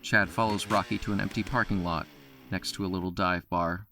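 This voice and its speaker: A man narrating an audio drama in a steady storytelling voice. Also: low voice, a little fast, soft background music.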